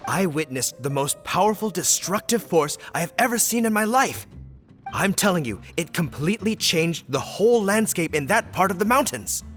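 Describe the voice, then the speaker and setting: A man's voice: high voice, expressive, normal speed, fairly bright tone, faint music behind. A man voicing a dramatic, earnest bard in a video game, theatrical and boastful. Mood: awed.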